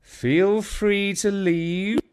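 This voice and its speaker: A man's voice: high voice, steady tone, drawn-out words, very noisy, pitched above the performer's own voice. A man voicing an exasperated, protesting dad with dry humour in a storybook reading.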